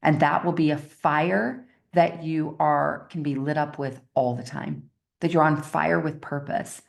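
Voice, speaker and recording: Very low, gravelly-voiced woman coach. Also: fairly even intonation, unhurried. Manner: chatty storytelling, often checks in with the audience with "right?". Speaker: success coach. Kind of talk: motivational coaching talk told as a personal story.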